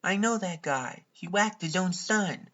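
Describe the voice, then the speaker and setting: A man's voice: sits high, lively intonation, measured pace, lo-fi sound, pitched above the performer's own voice. A man voicing a feisty young woman agent in a cartoon parody dub, dry and matter-of-fact.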